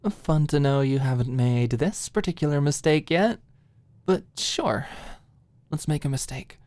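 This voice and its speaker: A woman's voice: low voice, measured pace, darkish tone, dry recording. A woman voicing a wry, teasing young woman with a sarcastic manner in an audiobook. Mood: amused. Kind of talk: audiobook character dialogue.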